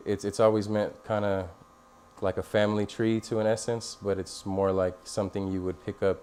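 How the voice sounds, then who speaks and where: A man's voice: low voice, darkish tone, clear, resonant tone. An American man in his thirties talking casually and in a laid-back way in a documentary interview. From San Diego, California, United States. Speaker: barbershop owner. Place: inside a busy barbershop. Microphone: lapel mic. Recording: background noise of busy barbershop.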